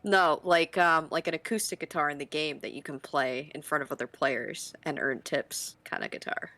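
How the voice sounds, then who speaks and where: A young woman in her twenties with a deep voice, chatting casually and rambling on a live stream. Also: moderate pace, pushed and bright. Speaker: streamer guest.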